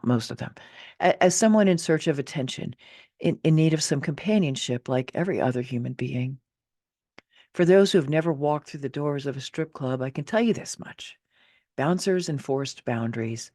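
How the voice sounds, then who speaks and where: A middle-aged woman reading her own personal essay as scripted memoir narration, expressive and candid, with wry touches and deliberate emphasis. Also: low voice, no echo, studio quality.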